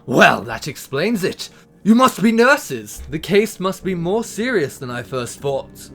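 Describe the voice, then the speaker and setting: A man's voice: a little high, sing-song, a little slow. A man playing a haughty, dismissive army officer in a First World War audio drama. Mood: scornful. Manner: pompous, theatrical. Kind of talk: period audio drama acting.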